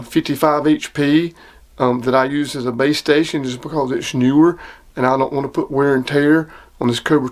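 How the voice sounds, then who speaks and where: A man's voice: a little high, a little mellow. A man casually showing off radio gear, plain-spoken and enthusiastic, like a hobbyist hosting his own video. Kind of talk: casual product demonstration.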